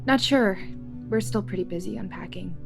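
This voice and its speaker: A woman's voice: mid-range voice, normal speed, gravelly, over music, lightly acted. An actress playing a weary, guarded young mother, curt with her own parent, in an audio drama. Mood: guarded, irritated. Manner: curt, unenthusiastic.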